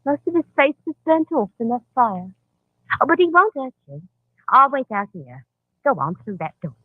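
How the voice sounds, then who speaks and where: Coaxing underworld woman, lively swooping pitch. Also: normal speed, dry recording. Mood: confiding. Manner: coaxing, inquisitive. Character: chatty, conspiratorial underworld woman recruiting for murder. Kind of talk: old-time radio drama acting. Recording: tinny lo-fi vintage radio recording.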